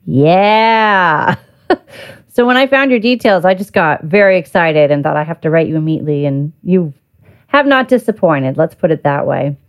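A woman's voice: on the low side, lively intonation, moderate pace. A woman hosting a podcast interview, chatty and enthusiastic, laughing often. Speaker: podcast host. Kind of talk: casual interview chat.

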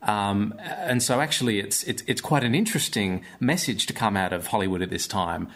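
Australian male, low voice, earnest interviewee, feels his way through sentences. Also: brisk, fairly bright tone. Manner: hesitant, searching for words, earnest. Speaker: guest, theatre maker and actor. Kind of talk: conversational interview.

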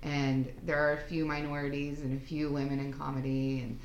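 A woman with a deep voice, a singer-songwriter chatting frankly and casually on a podcast. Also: a little fast, roomy sound, highs cut off, slight background noise. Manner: relaxed, frank, swears casually. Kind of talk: casual chat.

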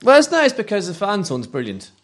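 A man's voice: high-pitched, wildly swooping pitch, brisk, fairly bright tone. A man hosting a casual football podcast, teasing his guests and steering the chat. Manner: teasing, jokey, drives the discussion. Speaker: podcast host.

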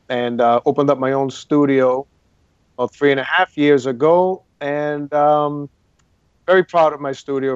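A man's voice: mid-range voice, darkish tone, clear, resonant tone, no echo, highs cut off. A man from Boston, an acting coach, talking earnestly as a guest in a casual interview and repeating words for emphasis. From Boston, Massachusetts.

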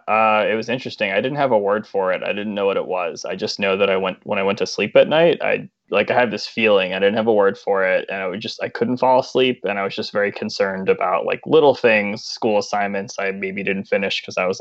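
A man's voice: on the low side, fairly quick, vocal fry, few pauses, no echo, lo-fi sound. A man who is a guest on a podcast, chatting casually and searching for his words with many fillers. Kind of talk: casual interview chat.

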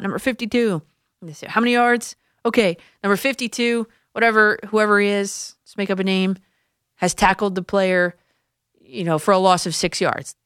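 A woman hosts a sports talk radio show from a dry, close studio microphone. Her voice sits a little low, and she talks in a conversational, explanatory way. Now and then she restarts a phrase as she works through an idea. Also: fairly bright tone, dry recording.

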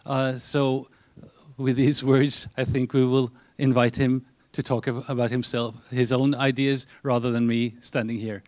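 A man introducing a guest speaker as moderator, speaking haltingly with many pauses and fillers. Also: mid-range voice, flat delivery, darkish tone, a little hiss or hum.

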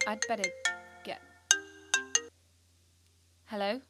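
A woman's voice: medium pitch, steady tone, slow, sharp tone, slightly rough, faint music behind, full character voice. A woman playing a restless, defiant teenage schoolgirl, telling her story intensely in a first-person audio drama monologue. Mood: subdued, confiding. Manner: intense, urgent, confiding, swearing.

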